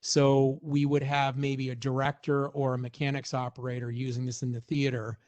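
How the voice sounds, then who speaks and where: A man's voice: medium pitch, level tone, moderate pace, a little mellow. A man presenting a product walkthrough in an informal, explanatory way, as in a semi-scripted technical demonstration.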